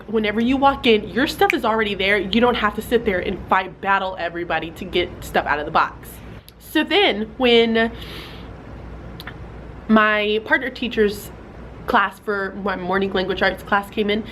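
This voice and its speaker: A woman's voice: mid-range voice, fairly bright tone, poor audio. Young woman teacher, casual vlog delivery, mildly congested, chatty with fillers; light background noise. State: slightly stuffy from a cold. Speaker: fourth grade teacher. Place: classroom.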